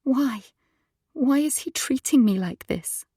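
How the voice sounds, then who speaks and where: An anxious, tearful young wife, voiced by a woman narrator, her voice lifted higher than the narration. She speaks timidly and shakily, with a pleading edge, and the recording is dry and close. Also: expressive, slow pace, dark tone, husky, no room sound, exaggerated voice. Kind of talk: audiobook character voice.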